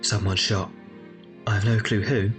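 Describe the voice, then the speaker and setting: Male actor as a low-voiced reflective narrator. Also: level tone, normal speed, close to an everyday voice. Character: reflective grown man recounting his orphaned youth. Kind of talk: dramatised first-person narration. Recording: faint music behind.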